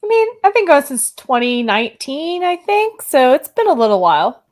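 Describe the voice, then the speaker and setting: A woman's voice: sits high, very animated, normal speed. A woman guesting on a podcast, chatting casually and giving frank opinions with laughs.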